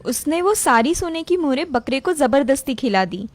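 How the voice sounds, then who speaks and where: A woman's voice: high voice, animated, normal speed, no room sound. A woman narrator reading a scripted moral story for a cartoon.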